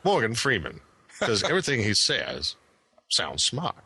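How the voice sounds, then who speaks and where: A man's voice: mid-range voice, wildly swooping pitch, measured pace, pushed and bright, husky. A man co-hosting a gaming podcast, joking and sarcastic in casual banter. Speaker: podcast co-host.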